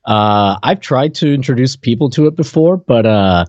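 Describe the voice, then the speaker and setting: Male co-host, animated mid-range voice, joking casual chat, dry and slightly lo-fi call recording. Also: mid-range voice, normal speed, no echo. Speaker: podcast co-host. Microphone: online call. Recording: dull, slightly lo-fi call audio.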